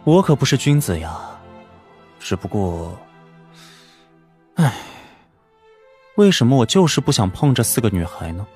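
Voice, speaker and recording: A man's voice: mid-range voice, very animated, a little slow, long pauses, a little mellow, soft background music, pitched above the performer's own voice. Man voicing a wry young mage, swinging intonation. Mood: wry musing. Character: young male mage, wry, self-mocking master.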